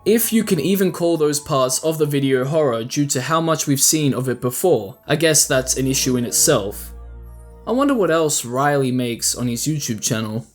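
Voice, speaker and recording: A man with a slightly high voice talks through a video essay in a casual, conversational way. He is wry and opinionated, often posing rhetorical questions. Faint music plays behind him. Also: expressive, moderate pace. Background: background music.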